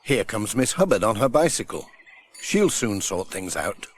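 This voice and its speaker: A man's voice: on the low side, sing-song, moderate pace, a little hiss or hum. A man reading a children's story as a scripted audiobook narrator.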